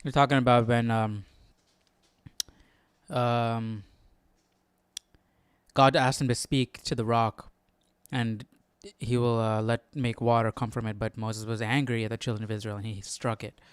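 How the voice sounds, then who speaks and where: Smooth-voiced man thinking aloud. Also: medium pitch, little pitch movement, unhurried, long pauses, no room sound. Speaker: Bible study livestream host. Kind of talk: casual read-along commentary.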